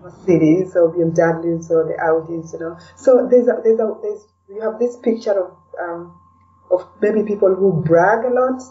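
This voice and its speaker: A woman's voice: lowish voice, moderate pace, recorded from a distance, muffled recording. A woman from Kenya talking reflectively as an interview guest, in casual conversation, often tagging her sentences with "you know".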